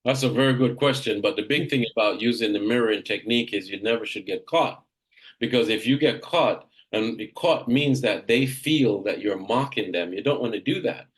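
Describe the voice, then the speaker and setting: A man's voice: fairly low pitch, moderate pace, low-quality recording. A man from Jamaica leading a live coaching session for real estate agents, direct and emphatic, often repeating himself.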